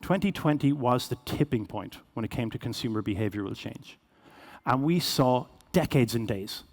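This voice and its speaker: Fast-talking Irish man from Cork. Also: medium pitch, lively intonation, a little mellow. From Ireland. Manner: fast-talking, joking, conversational. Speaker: keynote speaker. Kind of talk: conference keynote. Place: conference stage.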